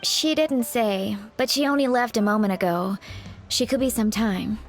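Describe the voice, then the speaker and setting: Composed, slightly high-voiced funeral attendant played by a woman. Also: soft background music, natural voice. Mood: composed, courteous. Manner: measured, formal, professional. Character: composed, discreet female funeral parlor attendant. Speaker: voice actor. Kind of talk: scripted video game dialogue.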